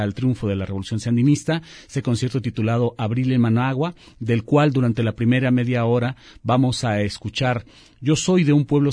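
A man hosting a radio program, presenting the next set of songs in a calm, measured way. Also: mid-range voice, dry recording. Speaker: radio host.

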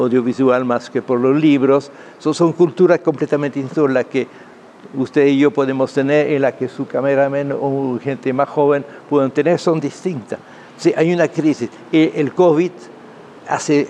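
Man, gravelly creak, interview guest, halting, emphatic, repetitive, faint background noise. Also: medium pitch, darkish tone. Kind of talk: spoken interview, explanatory opinion.

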